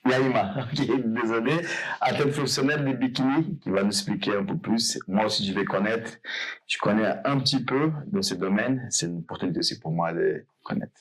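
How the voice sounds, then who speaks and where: A man's voice: lowish voice, fairly quick, husky, poor audio. A man hosting a podcast interview, talking loosely and rambling, often correcting himself. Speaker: podcast host.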